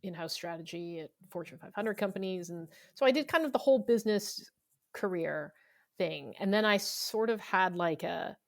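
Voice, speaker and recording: Lower-voiced woman, close dry sound, conversational. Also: normal speed, creaky, no echo. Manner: talkative, informal, explanatory. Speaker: interviewed novelist. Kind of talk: conversational interview answers.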